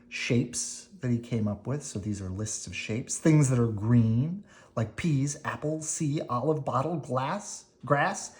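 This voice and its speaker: A person reading a children's picture book aloud expressively, with dramatic emphasis, pauses and brief explanatory asides. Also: medium pitch, a little mellow. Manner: dramatic emphasis, pausing between phrases.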